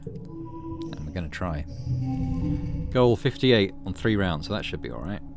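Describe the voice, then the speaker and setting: A man with a low-pitched voice hosts a story game playthrough. He reads the text aloud like dramatic narration and drops into casual commentary of his own. Game music plays clearly behind him. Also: animated, unhurried. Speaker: gaming channel host. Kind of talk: dramatic read-aloud narration of a visual novel; casual let's play commentary between readings.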